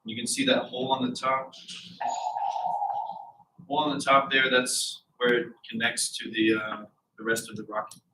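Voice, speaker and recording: A man's voice: medium pitch, monotone, normal speed, long pauses, pushed and bright. A young man with a congested voice presenting his team's rocket motor design in a classroom. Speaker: propulsion team lead. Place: lecture classroom. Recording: echoey room, distant, noisy.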